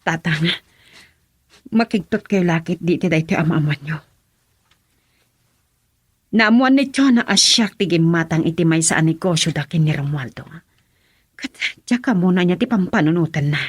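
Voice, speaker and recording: Husky, low-voiced worried mother. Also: lively intonation, moderate pace, pauses often, dry recording. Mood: uneasy, pensive. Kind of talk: Ilocano radio drama acting.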